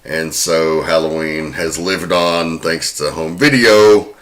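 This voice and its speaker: Very deep-voiced male horror podcast host. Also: steady tone, slow, a bit bright. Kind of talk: horror podcast talk and dramatic storytelling narration. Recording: roomy, music behind.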